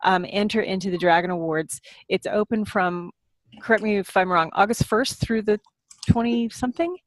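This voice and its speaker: A woman chats as a podcast co-host in a casual, conversational way. Her pitch sits in the middle range, and the sound is dry and free of room echo. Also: normal speed. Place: video call group podcast.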